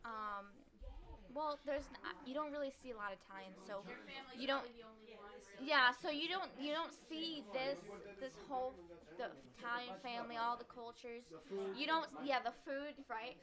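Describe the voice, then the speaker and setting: A woman's voice: high voice, normal speed, crowd noise. A teenage girl speaking as a guest in a casual chat, hesitant and full of fillers.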